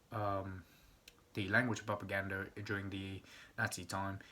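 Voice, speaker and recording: A man's voice: lowish voice, fairly bright tone, breathy edge. Rambling, swearing young man. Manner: rambling, swears often, frequent restarts and stammering. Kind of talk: casual rambling monologue.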